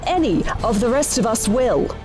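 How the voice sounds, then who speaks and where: A woman's voice: a little high, lively intonation, normal speed, music in the background, close to an everyday voice. An actress playing a hard, threatening woman leading stranded survivors in an audio drama, with a confrontational manner. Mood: menacing. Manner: confrontational, forceful in wording. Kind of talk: full-cast audio drama acting.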